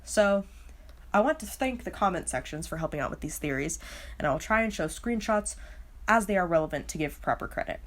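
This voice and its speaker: Woman, fairly low pitch, casual video commentary, wry, openly baffled, scripted recap delivery. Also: animated, a little fast. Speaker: host of a video explainer.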